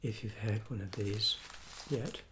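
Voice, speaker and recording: A man in his sixties, hoarse from a cold, reviewing fast food in a soft, half-whispered ASMR style with many restarts, seated at a table indoors. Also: fairly low pitch, heavy background noise. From Southeastern United States. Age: 60s. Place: small room at a table, indoors. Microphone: fixed camera mic at close range.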